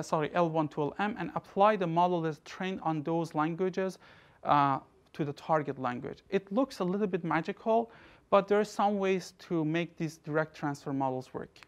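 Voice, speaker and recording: A young researcher lectures from the front of an indoor room, picked up by a distant camera. His talk is loose, full of restarts and "you know" fillers. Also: fairly high pitch. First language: Persian. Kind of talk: academic lecture.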